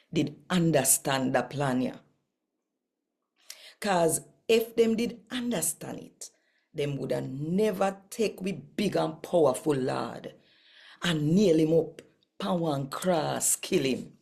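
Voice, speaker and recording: A Jamaican woman with a husky, gravelly voice, hosting a scripture reading channel in a deliberate, emphatic manner. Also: low-pitched, lively intonation, dark tone. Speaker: host and scripture reader.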